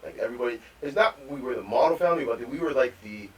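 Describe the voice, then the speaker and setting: A man co-hosting a live podcast, telling rambling stories about growing up, with repeated words and animated quotes. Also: mid-range voice, very fast. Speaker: podcast co-host. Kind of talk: storytelling in casual chat. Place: live podcast recording venue. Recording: distant mic with faint room ambience.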